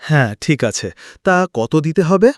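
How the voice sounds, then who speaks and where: A man's voice: fairly high pitch, lively intonation, moderate pace, no echo, clean studio sound, lightly acted. A man voicing a blunt village man in a cartoon folk tale. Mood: matter-of-fact. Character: blunt village man, impatient customer or fish seller.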